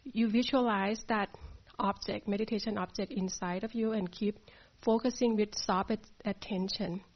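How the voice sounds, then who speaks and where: A woman from Thailand teaching a meditation class, speaking softly and hesitantly with many pauses. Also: medium pitch, fairly even intonation. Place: meditation hall. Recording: dull lo-fi sound.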